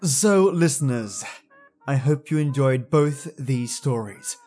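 A man's voice: mid-range voice, lively intonation, slow pace, darkish tone. A man hosting a podcast, speaking to listeners in a chatty, friendly way. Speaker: podcast host. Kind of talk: scripted podcast outro. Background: music.

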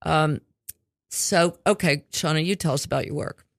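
A woman's voice: deep voice, normal speed, vocal fry, no echo, studio quality. A woman hosting a casual community radio interview, rambling and chatty with offhand swearing. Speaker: radio show host.

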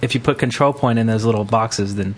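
A man's voice: on the low side, a little fast. A man hosting a gaming podcast in a casual, chatty style. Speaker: podcast host.

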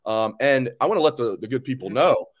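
A man hosts a sports podcast in a casual interview. He talks quickly and rambles, joking and correcting himself as he goes. His pitch sits in the middle range. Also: animated.

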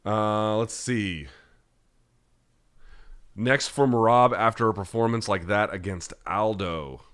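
A man's voice: fairly low pitch, takes it slowly, fairly bright tone, clean tone. An American man hosting a live-chat podcast, answering listener questions in a blunt, conversational, opinionated way. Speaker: podcast host.

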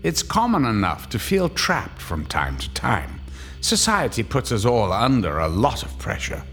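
An actor playing an ominous, knowing narrator introducing a horror audio drama. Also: male voice, fairly low pitch, huge pitch swings, measured pace, fairly bright tone, faint music behind, full character voice.

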